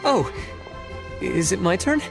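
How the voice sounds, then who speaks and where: An actor playing an awkward, meticulous civil servant in a video game, speaking hesitantly and with fussy precision. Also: male voice, sits high, sing-song, gravelly, heavily acted. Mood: nervous. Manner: halting, hesitant, fussily precise. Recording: music behind the voice.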